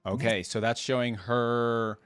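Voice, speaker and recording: A man's voice: mid-range voice, steady tone, measured pace, fairly bright tone, clear, resonant tone, no room sound. A man hosting a reaction video, commenting casually and often checking for agreement as he goes.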